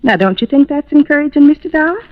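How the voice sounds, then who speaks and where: A woman's voice: high voice, lively intonation, quick pace. A woman playing a sweet, fragile young wife, worried and grieving, confiding earnestly and hesitantly in an old-time radio drama. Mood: anxiously hopeful. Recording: muffled vintage radio recording.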